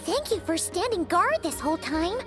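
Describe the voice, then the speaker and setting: A woman playing a small, chirpy, childlike fairy companion in a video game, bubbly and expressive. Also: very high voice, moderate pace, music in the background. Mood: upbeat.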